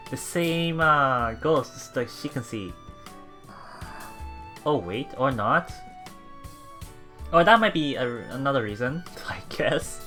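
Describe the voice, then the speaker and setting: A man with a bright, forward voice narrates a game casually. He reads text aloud and thinks out loud in a halting way, over faint game music. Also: mid-range voice. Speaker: let's play host.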